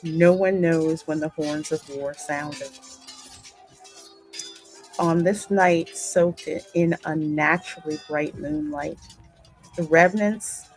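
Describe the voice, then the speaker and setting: Female game host and narrator: deep register, measured pace, dramatic storytelling delivery with conversational asides. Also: fairly even intonation, soft background music, poor audio. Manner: deliberate, dramatic reading. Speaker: gaming channel host and narrator.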